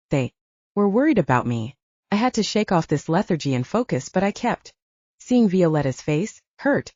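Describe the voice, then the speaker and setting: A woman's voice: fairly low pitch, warm tone, no room sound, lo-fi sound. A woman reading first-person fiction as a scripted audiobook narration.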